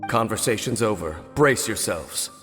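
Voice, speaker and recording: A man playing a weary, centuries-old cursed knight in a video game, speaking in a solemn, formal, measured way. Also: on the low side, expressive, big-room sound, over loud music, natural voice. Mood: terse. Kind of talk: dramatic video game voice acting.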